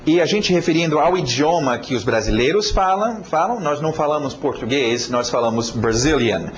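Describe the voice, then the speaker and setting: A man's voice: on the high side, lively intonation, normal speed. A man with an American accent, a native English speaker, teaching a video lesson and stretching out words and chanting rhythm syllables to demonstrate them. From United States.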